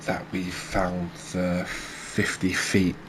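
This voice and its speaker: A man giving relaxed ASMR commentary over a fishing video game, chatting to viewers and often checking in with tag questions. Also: low voice, slow pace, slight background noise, low-quality recording. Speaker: gaming video host.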